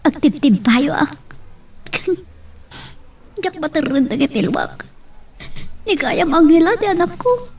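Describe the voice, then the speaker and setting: A woman's voice: sits high, sing-song, slow pace, long pauses, darkish tone, distant mic, a little hiss or hum, full character voice. An actress playing an earnest, emotional mother in an Ilocano radio drama, with an Ilocano accent. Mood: tearful, brooding. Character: earnest, emotional mother with a long-kept secret.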